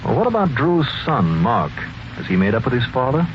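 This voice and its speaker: An actor playing a discreet, scheming doctor, suave and measured, in 1940s radio style. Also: male voice, medium pitch, huge pitch swings. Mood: cool, conspiratorial. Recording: muffled, noisy 1940s radio.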